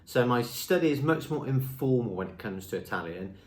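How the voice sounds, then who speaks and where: A man's voice: mid-range voice, expressive, fairly quick. Upbeat man vlogging in an echoey room. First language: English. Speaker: language school marketing presenter. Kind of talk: talking-head vlog. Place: indoor room with a screen behind him.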